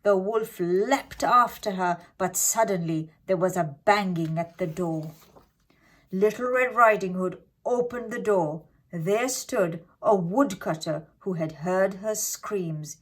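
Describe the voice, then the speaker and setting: A woman reading a scripted fairytale for children in an expressive, dramatic, deliberate way. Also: medium pitch. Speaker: children's storyteller.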